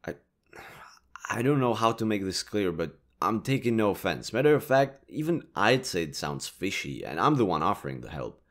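A man playing a kind, reassuring young stranger in an intimate audio roleplay, speaking gently, considerately and a little hesitantly. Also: on the low side, very animated, moderate pace. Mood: earnest, apologetic. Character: kind, reassuring young male stranger helping a distressed girl.

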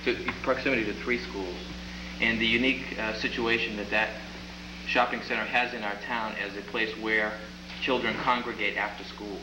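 Councilor, thirties, lowish voice, deliberate; echoey council room with clear hum. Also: male voice, level tone. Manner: deliberate, repeats words while reasoning. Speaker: town councilor. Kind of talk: council debate remarks. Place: town council chamber. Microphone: table mic. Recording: echoey chamber with loud hum.

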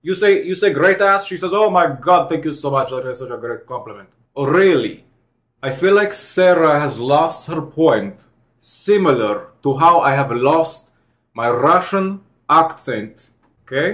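A man's voice: on the high side, measured pace. Sarcastic young man hosting a reaction. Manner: sarcastic, deadpan mock-formal delivery. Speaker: host. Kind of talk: casual reaction commentary. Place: home room with bookshelves.

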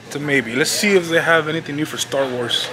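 A man's voice: a little high, a little fast. Laid-back Cuban-accented man in a toy store. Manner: laid-back, joking, mumbles asides. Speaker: toy-collecting vlogger. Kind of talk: casual vlog chat. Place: large toy store, indoors. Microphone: handheld camera held close. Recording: busy store chatter behind.

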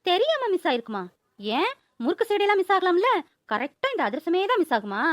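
A woman's voice: very high-pitched, big pitch swings, brisk, no room sound, studio quality. A woman voicing a whiny, dramatic, sweet-greedy grown daughter in a cartoon. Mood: sulky, accusing. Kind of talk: Tamil cartoon voice-over acting.

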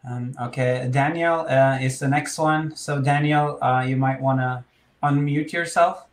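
A man with a Norwegian accent on a video call, hosting a webinar in a halting way with many fillers. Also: mid-range voice, fairly even intonation. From Norway. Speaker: webinar host. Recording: echoey room on a video call.

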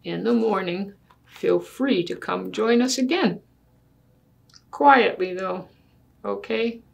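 A woman's voice: medium pitch, slow pace, gravelly, a little hiss or hum. An older woman voicing a bossy, precocious little girl from a storybook who persuades her daddy in a pompous, mock-reasonable way. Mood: coaxing, firm.

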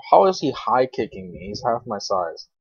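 A man's voice: fairly low pitch, sing-song, moderate pace, breathy edge, no echo. A young adult man giving casual gameplay commentary in an informal, grumbling, sweary way. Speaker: gaming video creator. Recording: dull lo-fi microphone, dry.

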